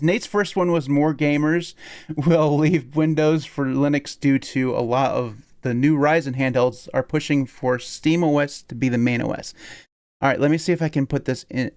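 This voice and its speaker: A man's voice: medium pitch, a little mellow. Male podcast host, chatty, giggly, rambling. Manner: laughs often, rambling with restarts. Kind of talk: casual podcast chat.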